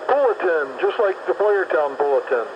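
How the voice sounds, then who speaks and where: Guy on cb radio driving his paper route, bit high voice, friendly, lots of static, muffled. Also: expressive, moderate pace. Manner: friendly, chatty, gives his location. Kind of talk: casual CB radio chat. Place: moving vehicle on a country road. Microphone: CB radio handset. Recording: noisy lo-fi CB radio transmission.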